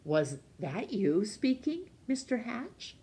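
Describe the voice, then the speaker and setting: Storybook shopkeeper, read by a woman: male, ailing, overworked, easily amazed, animated delivery. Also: fairly high pitch, takes it slowly, husky, pitched above the performer's own voice, exaggerated voice. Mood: astonished. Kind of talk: storybook character voice.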